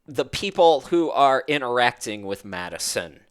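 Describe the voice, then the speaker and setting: A man's voice: medium pitch, very animated, normal speed. A man hosting a casual interview, feeling his way through his questions in a slightly hesitant, conversational manner. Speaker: interview host.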